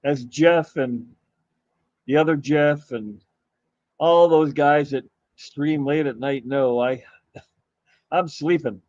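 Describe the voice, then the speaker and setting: A man hosting a live stream, chatting casually and greeting viewers in a rambling, halting way while his voice is going hoarse. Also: a little high, a little mellow, no room sound, highs cut off. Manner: rambling, halting, with many fillers and restarts. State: losing his voice. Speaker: livestream host.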